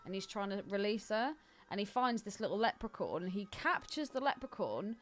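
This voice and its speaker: Lively woman co-hosting a podcast. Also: medium pitch, a little fast. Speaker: podcast co-host. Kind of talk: casual film chat.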